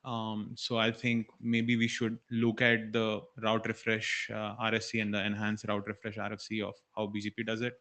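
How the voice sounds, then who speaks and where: A man's voice: lowish voice, monotone, normal speed, few pauses, a bit bright. A man speaking as an engineer at a working group, offering technical comments with some hesitation.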